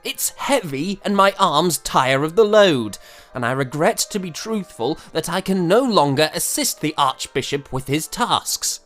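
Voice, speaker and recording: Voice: mid-range voice, animated, a little slow, fairly bright tone, soft background music. An actor playing an aggrieved, spirited young composer of the 1780s in a florid, theatrical period audio drama style. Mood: flustered, defiant. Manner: theatrical, florid, hesitant sputtering. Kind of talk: period audio drama acting, letter narration.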